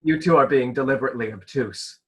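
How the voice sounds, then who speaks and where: A woman's voice: very deep voice, quick pace. A woman playing a flustered, officious fairy tale narrator in a comedic radio play, exasperated and pompous. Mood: flustered, pleading. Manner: exasperated, hesitant when pleading, pompous when commanding.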